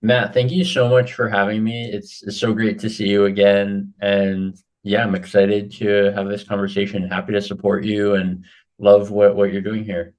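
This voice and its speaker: A man's voice: fairly low pitch, little pitch movement, moderate pace, a little mellow, clear, resonant tone. A man from Hawaii, a guest on a business podcast, talking casually and often restarting his sentences. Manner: conversational, repeats words when restarting. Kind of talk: casual interview chat.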